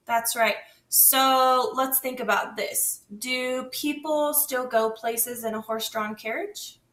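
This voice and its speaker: A woman's voice: on the high side, fairly even intonation, slow, fairly bright tone. A woman teacher leading a lesson patiently, guiding with questions. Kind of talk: scripted classroom lesson. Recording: echoey room, distant mic.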